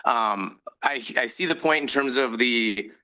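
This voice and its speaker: Bright-voiced man giving council remarks. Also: medium pitch. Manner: measured, thanks officials, lists points. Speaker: city council member. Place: council chamber.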